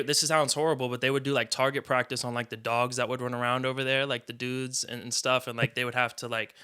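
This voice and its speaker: A man's voice: medium pitch, sharp tone, smooth. An American man hosting a casual interview, talking in an informal, conversational way. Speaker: interviewer, host.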